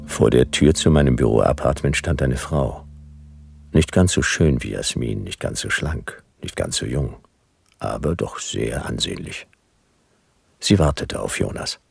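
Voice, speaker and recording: A man's voice: extremely low pitch, lively intonation, measured pace, vocal fry, a little mellow, breathy edge, no echo, exaggerated voice. A man playing a world-weary private detective in a radio drama, speaking in dry, laconic, clipped phrases. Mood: dry narration. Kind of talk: noir radio drama narration and dialogue.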